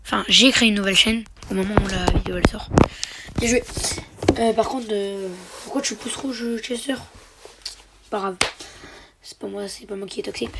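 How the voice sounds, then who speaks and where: A man's voice: high voice, very noisy. Young man with a sore throat, gaming chatter. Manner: excitable, slangy, drops to muttering under his breath. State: sore throat, slightly congested. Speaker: mobile game youtuber. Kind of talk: live gameplay commentary.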